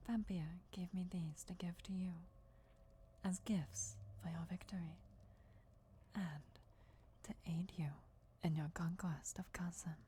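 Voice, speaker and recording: A woman voicing an ancient, loyal vampire countess in a formal, deferential, measured whisper for fantasy ASMR roleplay. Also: lowish voice, steady tone, warm tone, a little hiss or hum, natural voice. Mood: proud, deferential. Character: ancient female vampire countess, devoted herald's speaker, formal and reverent.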